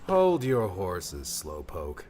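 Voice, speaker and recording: A cocky young male adventurer in an audiobook, played by a man. He speaks in a lowish, very lively voice with a casual, teasing edge, over clear background noise. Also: takes it slowly, full character voice. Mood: wry, teasing. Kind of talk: audiobook character dialogue.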